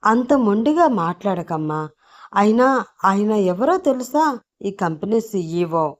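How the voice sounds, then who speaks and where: A woman's voice: medium pitch, very animated, slow pace. A woman voicing a nervous male office worker who scolds a newcomer in a raised, urgent tone. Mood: pleading.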